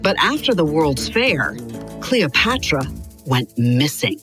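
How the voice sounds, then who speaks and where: A woman reading a scripted story for children in a measured, expressive storyteller's delivery. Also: deep voice. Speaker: podcast narrator. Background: music under the voice.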